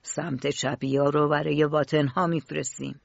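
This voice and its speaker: A woman voicing a harsh, gruff grown man who runs a factory, speaking bluntly and loudly. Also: sits low, flat delivery, no echo, voice pushed down, heavily acted. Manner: blunt, scolding, loud. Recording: dull lo-fi dry recording.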